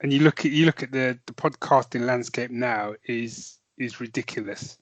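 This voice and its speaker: A man's voice: medium pitch, normal speed, no echo. A man co-hosting a podcast in casual chat, laughing easily and restarting his phrases. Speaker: podcast co-host.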